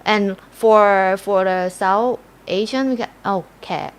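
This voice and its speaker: A woman's voice: medium pitch, slow. Casting: female Thai livestream co-host, Thai accent, casual, simple second-language phrasing with searching explanations. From Thailand.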